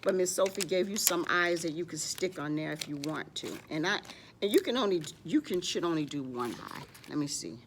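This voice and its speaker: A woman's voice: on the low side, expressive, fairly quick, a little hiss or hum. A woman hosting a children's library storytime, speaking to young children as she tells stories and shows crafts, often referring to herself in the third person.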